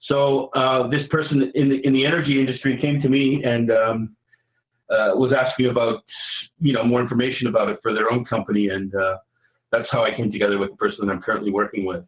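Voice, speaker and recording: A man with a Canadian accent giving an informal project pitch in a conversational, somewhat halting way. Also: flat delivery, narrow, tinny audio. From Vancouver, Canada. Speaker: editor and hackathon participant pitching a project. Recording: roomy, echoey sound.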